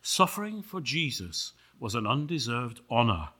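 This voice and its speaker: A man's voice: medium pitch, big pitch swings, moderate pace, pushed and bright, slightly rough, exaggerated voice. A man playing a humble, resolute apostle who answers plainly and firmly in a sermon sketch. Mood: solemn.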